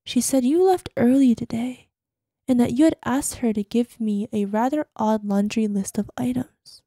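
A woman's voice: on the high side, moderate pace, warm tone, no echo, clean studio sound. A woman playing an obsessive, possessive girlfriend in an ASMR roleplay voicemail, sweetly menacing, dropping into whispers. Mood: sweetly suspicious. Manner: breathy asides, drops into whispers, syrupy pet names.

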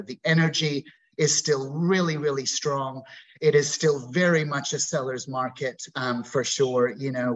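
A man's voice: on the high side, rough recording. A man in his forties from Bermuda, a real estate agent talking as a guest on a video call interview, upbeat and effusive with many fillers. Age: 40s. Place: indoor room at home. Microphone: video call webcam.

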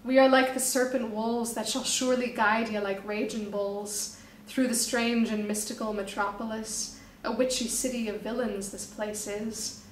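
A woman's voice: fairly high pitch, steady tone, normal speed, reverberant, far from the mic, slight background noise, natural voice, low-quality recording. A woman voicing a slick male snake vagrant who recites a grandiose, pleading street poem.

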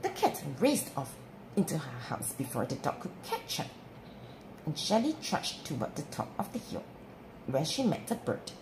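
A woman reading a children's story aloud in an expressive, deliberate way. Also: sits low. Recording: roomy with clear background noise.

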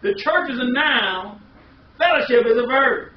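A man's voice: very high-pitched, expressive, unhurried. A middle-aged American man preaching a sermon in an emphatic way, with repeated phrases and rhetorical questions. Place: small indoor room. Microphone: distant camera microphone. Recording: echoey, muffled, distant camera audio with hiss.

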